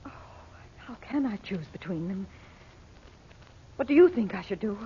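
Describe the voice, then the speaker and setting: A woman's voice: mid-range voice, animated, normal speed, mellow, natural voice. An actress playing an anxious, emotional mother in a 1940s radio serial, fretful, hesitant and pleading. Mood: anguished, pleading. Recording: muffled, noisy old radio recording.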